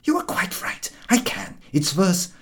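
A man's voice: high-pitched, huge pitch swings, unhurried, fairly bright tone, pitched above the performer's own voice, exaggerated voice. A man voicing a self-important, quarrelsome dog in a storybook, indignant and pompously polite. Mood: indignant, challenging. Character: huffy, self-important male milk-cart dog, quarrelsome.

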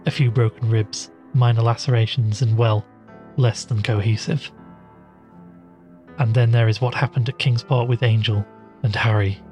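A man playing a weary, confessional podcast host and shaken survivor in an audio drama, with measured, dryly understated delivery. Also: medium pitch, steady tone, music in the background, close to an everyday voice. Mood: sombre, confessional.

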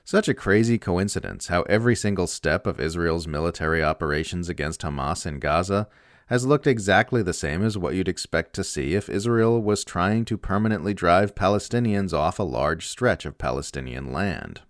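A man's voice: sits low, animated, normal speed, no room sound, clean studio sound. A man reading a written political commentary aloud as scripted narration. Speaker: essay reader.

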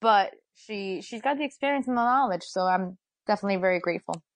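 A woman sports anchor, a guest in a casual interview, who talks fast and animatedly and laughs often. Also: medium pitch, expressive, no echo.

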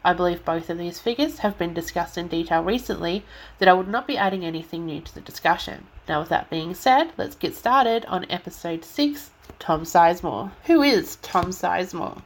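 A woman's voice: fairly low pitch, animated, moderate pace, low-quality recording. A woman podcast host with a stuffy nose reads a scripted rundown and sometimes stumbles over names. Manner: careful reading with occasional stumbles over names. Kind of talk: reading a scripted true-crime rundown.

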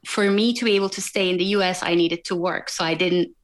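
A woman from Turkey with a Turkish accent, a podcast guest telling her story in a measured, reflective way. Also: lowish voice, fairly even intonation. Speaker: guest, wound healer and coach. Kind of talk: interview answers.

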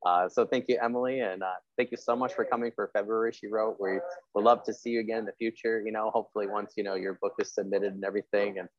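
A deep-voiced man chats casually as a podcast host, rambling along at a rapid pace and circling back over his phrases. The sound is close and dry, though slightly dull. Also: fairly even intonation, no echo. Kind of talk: casual interview chat.

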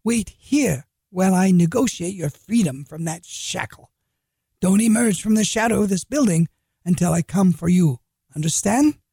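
Man reading a smooth-talking street trader, flattering, husky and animated. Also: high-pitched, moderate pace, no room sound, studio quality, voice pushed up, exaggerated voice. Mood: firm. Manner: wheedling, flattering.

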